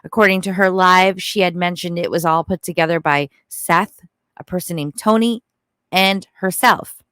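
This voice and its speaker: A woman's voice: lowish voice, normal speed, a bit bright, smooth, dry recording, studio quality. A woman giving casual, opinionated true crime commentary in a blunt, informal way.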